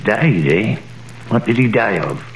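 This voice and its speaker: An actor playing a bitter, gossipy older tailor in a 1930s radio drama, with a gravelly voice and a scoffing manner, heard as an echoey vintage broadcast. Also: male voice, fairly low pitch, expressive, measured pace, a little hiss or hum.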